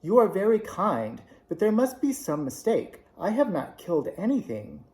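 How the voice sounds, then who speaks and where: A man voicing an innocent, gentle little girl, earnest and worried, in a storybook reading. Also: high voice, sing-song, moderate pace, voice pushed up. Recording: roomy echo.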